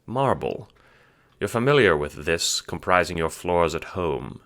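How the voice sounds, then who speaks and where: Very low-pitched man narrating. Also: sing-song, measured pace, fairly bright tone. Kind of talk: scripted second-person audiobook narration.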